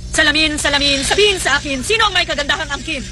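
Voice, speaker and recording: Haughty, high-pitched jealous queen. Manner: haughty, commanding. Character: vain, jealous, imperious queen stepmother. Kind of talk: Tagalog-dubbed fairy-tale cartoon acting. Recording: loud music behind the voice.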